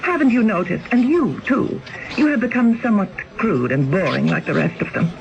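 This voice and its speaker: An actress playing a spoiled, discontented young wife in a radio drama, haughty and complaining. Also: female voice, mid-range voice, very animated, brisk, creaky. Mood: irritated, disdainful. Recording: muffled lo-fi radio drama broadcast.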